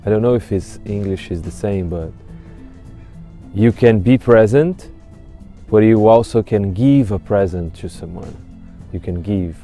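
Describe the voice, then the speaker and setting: A man in his thirties whose first language is Portuguese, a music teacher answering interview questions slowly, with many restarts. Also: on the low side, warm tone. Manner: slow, halting, searching for words. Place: outdoors in autumn woods.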